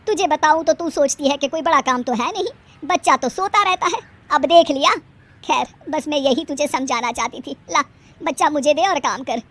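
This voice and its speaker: A woman voicing a weary, grumbling elderly mother-in-law in an animated family story, scolding and sarcastic. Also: high voice, normal speed. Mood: reproachful.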